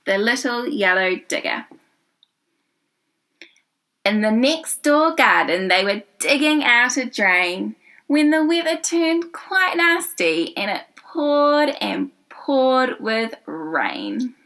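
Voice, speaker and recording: A woman with a New Zealand accent hosts a children's story show, reading a rhyming picture book aloud with animated emphasis and drawn-out key words. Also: a little high.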